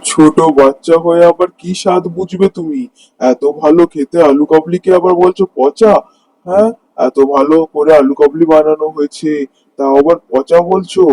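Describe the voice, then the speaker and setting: A man plays a crafty, greedy street food seller from a cartoon morality tale. His voice sits fairly high for a man, with a stubborn, defensive hawker's patter. The recording is close and dry. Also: normal speed, dark tone, dry recording, natural voice, poor audio. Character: greedy, dishonest male street snack vendor.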